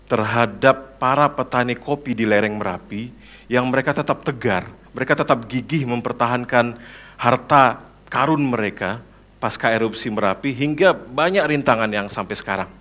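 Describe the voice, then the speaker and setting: A man's voice: medium pitch, moderate pace, a bit bright. A man in his thirties from Padang, Indonesia, telling his own story in a prepared public talk as a guest speaker. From Padang, West Sumatra, Indonesia. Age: 30s. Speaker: coffee shop owner, guest speaker. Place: room in front of an audience.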